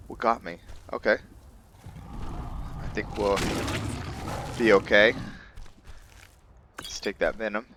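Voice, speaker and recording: A man giving casual let's play commentary as host of a roleplay series, thinking aloud in a halting way. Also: lowish voice, steady tone, noisy background.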